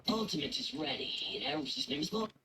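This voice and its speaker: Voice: mid-range voice, expressive, brisk, bright, forceful tone, a little hiss or hum. An actor voicing a video game system announcer, giving short, clipped, scripted alerts. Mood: announcing alerts.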